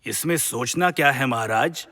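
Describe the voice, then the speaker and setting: Man acting a forceful royal courtier, bright, echoing. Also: medium pitch, normal speed, lightly acted. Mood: assertive. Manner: emphatic, formal, declamatory. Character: forceful, pragmatic royal courtier addressing the king. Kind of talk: Hindi mythological audio drama acting. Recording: long hall-like reverb.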